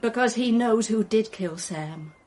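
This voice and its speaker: A woman's voice: a little high, measured pace, darkish tone, a little hiss or hum, lightly acted. An actress playing an anxious, upset woman suspect pleading her case in a radio mystery drama. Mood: confiding.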